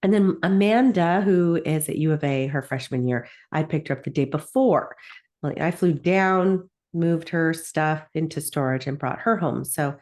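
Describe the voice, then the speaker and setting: A woman's voice: low-pitched, normal speed, a little mellow. A middle-aged woman chatting casually as a podcast co-host, enthusiastic and gushing, a little sniffly with a cold. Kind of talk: casual chat.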